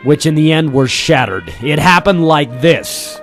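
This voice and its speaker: A man's voice: on the high side, lively intonation, measured pace. A man playing a commanding Marine sergeant in a radio drama, telling and reliving his war memories. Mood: somber recollection. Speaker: narrator and lead character. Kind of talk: dramatic radio storytelling with acted battle scenes. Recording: radio drama over loud music bed. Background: loud music.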